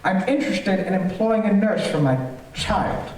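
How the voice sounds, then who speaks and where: An actor playing a fussy, eager homeowner who is secretly a murderous villain, repeating himself in an old-time radio thriller on stage. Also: male voice, high-pitched, normal speed, darkish tone, lightly acted. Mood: businesslike. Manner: repetitive, fussy, chuckling, sinister. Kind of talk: stage performance of golden-age radio thriller. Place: theater stage, live performance. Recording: roomy theater, far from mic, faint noise.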